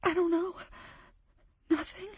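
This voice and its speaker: Woman voicing a scared young woman, high and whispery, voice keeps breaking, dry close sound. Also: little pitch movement, unhurried, a little mellow, husky, no echo. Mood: distraught. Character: frightened young woman trapped by floodwater.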